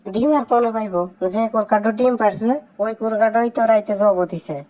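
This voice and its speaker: A woman acts a nagging village woman from a comedy cartoon. She speaks curtly in a rather high voice with a Sirajganj Bangladeshi accent. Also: steady tone, brisk, mellow, close to an everyday voice. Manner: curt, nagging. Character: sharp-tongued village woman scolding her menfolk.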